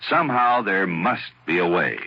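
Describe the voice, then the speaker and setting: A man narrating in a measured, scripted radio style. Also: sits low, very animated, slightly rough. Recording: dull old radio broadcast.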